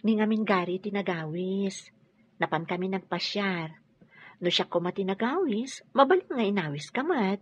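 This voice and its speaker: An actress playing an earnest, soft-hearted woman in love in a radio drama. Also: mid-range voice, expressive, normal speed, husky. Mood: placating. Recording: dull, noisy lo-fi radio.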